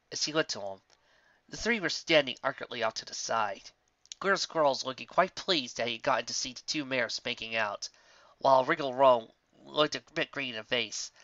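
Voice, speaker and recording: Male storyteller, lively and bright-toned, dramatised fantasy narration on a dry, slightly dull home recording. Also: mid-range voice, no echo. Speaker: fan fiction reader.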